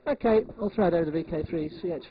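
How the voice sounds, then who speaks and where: A man chatting casually and unscripted on air, halting and repeating words as he goes, heard on muffled transmission audio. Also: fairly high pitch. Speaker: amateur television operator.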